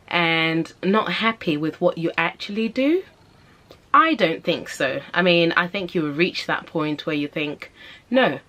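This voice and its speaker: A woman's voice: lowish voice, a bit bright. Earnest Zimbabwean woman giving advice. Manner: earnest, encouraging, repeats phrases for emphasis. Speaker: vlogger and mother. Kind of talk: casual advice vlog talking to camera.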